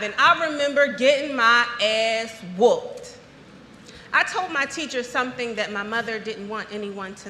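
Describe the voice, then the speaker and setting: An American woman performing a dramatic spoken-word monologue, with emphatic, poetic phrasing and deliberate pauses. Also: a little high, pushed and bright, a little hiss or hum. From United States (Philadelphia). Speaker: storyteller performing an autobiographical monologue. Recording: echoey hall with long reverb.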